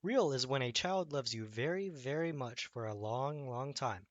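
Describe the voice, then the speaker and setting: A man voicing a wise old toy horse in a children's storybook, explaining things gently and patiently. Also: medium pitch, animated, moderate pace, no room sound, highs cut off, natural voice. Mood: gentle, wise.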